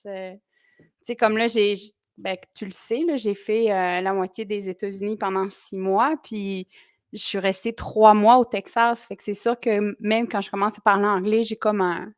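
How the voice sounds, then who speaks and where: A woman in her forties, born in southwestern France, speaks as a podcast guest with an accent mixed with Québécois traces. She talks in a chatty, laughing way with a fairly high voice. The studio sound is close and dry. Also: no room sound. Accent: French with Québécois traces. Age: 40s. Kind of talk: casual interview chat. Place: small studio.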